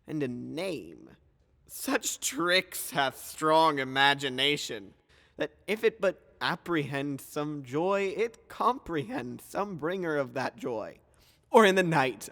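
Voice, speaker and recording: A man playing a grand, genial yet skeptical duke of Athens, declaiming in a measured, theatrical Shakespearean style. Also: fairly high pitch, fairly bright tone, full character voice. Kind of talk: theatrical Shakespearean audio drama acting. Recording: long reverberant hall echo.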